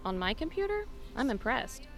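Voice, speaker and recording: Flirtatious female guest, high pitched, animated, playful teasing, audio drama, music behind. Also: normal speed.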